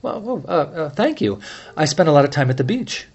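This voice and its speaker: A man's voice: a little high, big pitch swings, fairly quick, darkish tone, breathy edge, lo-fi sound, voice pushed up, heavily acted. A man voicing a tearful, faltering young woman. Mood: defensive. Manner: faltering, tremulous, stammers under pressure. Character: grieving, tearful young woman, evasive and pleading.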